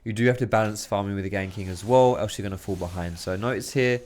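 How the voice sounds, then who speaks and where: A man's voice, a little hoarse and worn from talking, narrates game footage in a quick, excitable play-by-play. He sits at a home desk mic, reacting to each big moment with loose, slangy enthusiasm. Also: lowish voice. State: hoarse, losing his voice. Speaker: video host. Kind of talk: gameplay commentary.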